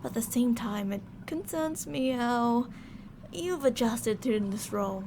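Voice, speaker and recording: A woman's voice: fairly high pitch, expressive, measured pace, darkish tone, slight background noise. A woman playing a controlling mad scientist in an ASMR audio drama, sugary and polite but ready to turn stern and commanding. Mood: businesslike, slightly worried. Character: controlling female mad scientist, sweet-talking, fretful captor.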